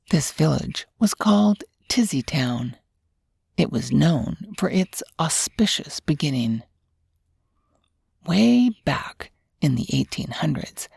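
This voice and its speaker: A woman narrating a children's bedtime story in a gentle, unhurried, scripted storytelling manner. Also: sits low, creaky, breathy edge, no echo, studio quality.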